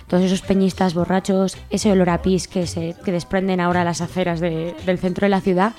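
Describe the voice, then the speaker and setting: A woman's voice: lowish voice, flat delivery, fairly quick, darkish tone, faint music behind. A woman with a Spanish accent from Spain, presenting a radio programme as its host. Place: radio studio.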